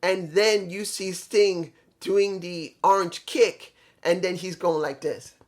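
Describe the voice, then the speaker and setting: Man, fairly high voice, casual show reviewer, rambling, self-correcting, trips over names. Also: fairly even intonation, takes it slowly. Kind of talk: casual solo review talk.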